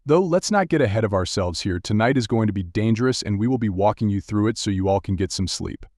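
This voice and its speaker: Male TV meteorologist, played by an actor, lowish pitch, dry sound. Urgent but steady live storm coverage, direct and instructive. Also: fast talker, no echo. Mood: serious, measured. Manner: direct, instructive, addressing viewers. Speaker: TV weather broadcaster. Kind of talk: live severe weather broadcast commentary.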